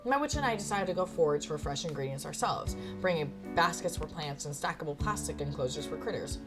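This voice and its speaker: A woman's voice: low voice, expressive, fairly quick, pitched below the performer's own voice. A woman voicing an earnest young witch's cat in a visual novel, speaking plainly and sincerely as it narrates. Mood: sincere, mild. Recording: music behind the voice.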